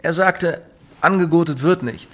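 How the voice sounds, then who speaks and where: A man's voice: a little high, normal speed, fairly bright tone. An actor playing a methodical male official who questions formally and probingly in a German radio drama. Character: methodical official questioning an injured worker, calming.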